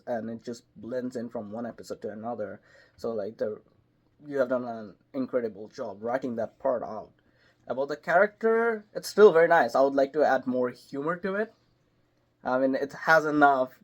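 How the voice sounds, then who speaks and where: A man talking casually as a guest in an interview. His voice sits at an ordinary middle pitch, and it climbs and swells when a story gets exciting. His phrasing is loose and full of small hesitations. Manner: animated storyteller, jumps higher and louder when excited. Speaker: voice actor guest.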